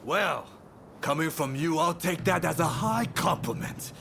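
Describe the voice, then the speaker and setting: A man playing a brash, jovial samurai captain in a video game, with a gravelly voice and a swaggering, teasing manner. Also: fairly high pitch, unhurried. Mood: jovial, cocky. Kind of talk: dramatic video game acting. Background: game soundtrack music.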